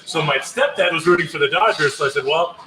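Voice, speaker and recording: A man's voice: a little high, expressive, fast talker, pushed and bright. A man who grew up in Southern California tells casual anecdotes as a sports show co-host. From born abroad in Germany, grew up in Southern California. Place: live broadcast from a grill restaurant. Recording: noisy live venue ambience.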